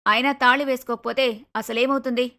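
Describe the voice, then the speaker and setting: A woman playing an arrogant, lazy young daughter-in-law who talks back curtly in an animated moral story. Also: fairly high pitch, quick pace. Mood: indignant. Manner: curt, dismissive, argumentative.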